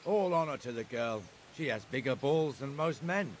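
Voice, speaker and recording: A man's voice: mid-range voice, expressive, normal speed, slight background noise, natural voice. An actor playing a blunt, teasing, seasoned town watchman in a medieval video game.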